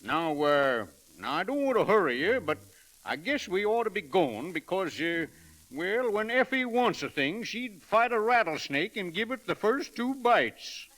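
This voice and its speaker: A henpecked, folksy husband with an American Western drawl in an old radio comedy. His high voice swings widely and cuts through brightly, blunt but friendly. The recording is old and crackly. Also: very animated, measured pace, exaggerated voice. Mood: sheepish, folksy. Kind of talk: 1940s radio comedy acting.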